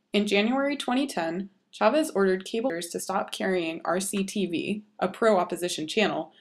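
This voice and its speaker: A woman reading scripted informational narration as a narrator. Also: medium pitch.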